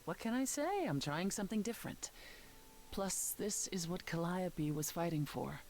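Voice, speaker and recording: An actress playing a composed immortal woman leader in a story game, speaking in a measured, formal way. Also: on the low side, very animated, a little mellow, slight background noise. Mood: calm, dry. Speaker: voice actor. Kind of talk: dramatic dialogue in a story game.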